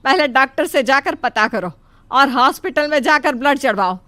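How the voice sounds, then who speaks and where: A woman's voice: high voice, lively intonation, normal speed, pushed and bright. A woman voicing a worried, nagging mother-in-law who scolds and frets protectively in a cartoon moral story. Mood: scolding, concerned. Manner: scolding, exclaiming, fussing.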